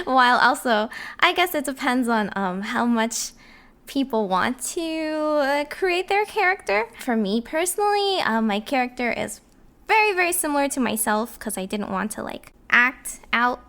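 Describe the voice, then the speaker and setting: A woman's voice: fairly high pitch, lively intonation, moderate pace, sharp tone. A woman chatting casually as a podcast guest, giggly and often laughing between phrases. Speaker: podcast guest, voice actor and streamer. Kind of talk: casual chat.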